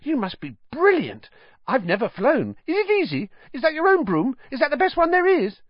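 A man voicing an eager, talkative young schoolboy fan with a piping, breathless voice and a rapid string of questions. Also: extremely high pitch, huge pitch swings, no echo, pitched above the performer's own voice, exaggerated voice. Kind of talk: audiobook character voice. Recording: dull, dry lo-fi audio.

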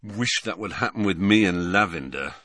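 A man's voice: deep voice, lively intonation, normal speed, sharp tone, dry recording, clean studio sound. A man voicing a glum, easygoing, lazy teenage boy with a casual, grumbling manner in an audiobook. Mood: gloomy, exasperated.

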